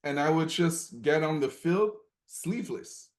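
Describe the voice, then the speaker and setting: A man's voice: fairly high pitch, expressive, measured pace, a little mellow. Emphatic man from Cameroon, repeating for emphasis. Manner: emphatic, repeats points, frequent "okay?" check-ins. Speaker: coaching call participant. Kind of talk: motivational group call sharing.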